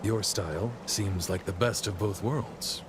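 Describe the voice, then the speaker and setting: An actor playing an easygoing middle-aged warrior captain and encouraging mentor in a video game cutscene. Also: male voice, lowish voice, a little slow, background noise, close to an everyday voice. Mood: encouraging, hopeful.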